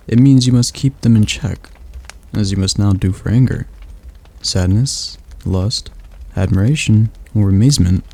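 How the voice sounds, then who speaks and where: A man voicing a patient male demon tutor who teaches magic in a calm, gently commanding ASMR roleplay. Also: lowish voice, slightly rough. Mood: instructive.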